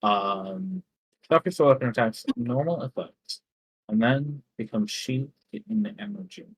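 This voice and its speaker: A man running a tabletop game as game master, who gives unhurried rulings with frequent ums. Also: medium pitch, animated, vocal fry, husky, no room sound, rough recording.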